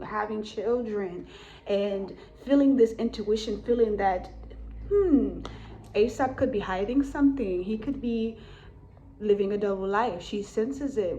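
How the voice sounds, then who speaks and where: A woman improvising a spiritual tarot reading for an audience, speaking in halting phrases and often tagging statements to check the listener is following. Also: medium pitch, dark tone, rough recording. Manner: halting phrasing, punctuated with repeated checking tags. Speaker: tarot reader and psychic channeler.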